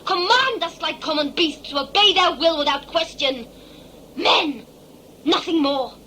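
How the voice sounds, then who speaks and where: Woman playing high, bright, passionately defiant young woman. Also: expressive, a little slow, a little hiss or hum, heavily acted. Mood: defiant, impassioned. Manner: intense, formal, dramatic. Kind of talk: sci-fi audio play acting.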